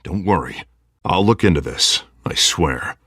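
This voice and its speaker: Earnest, reassuring confidant, deep register, lively inflection, game drama. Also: male voice, unhurried, slightly rough, dry recording, studio quality, full character voice. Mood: earnest, concerned. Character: earnest male ally promising help. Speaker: voice actor. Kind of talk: video game dramatic dialogue.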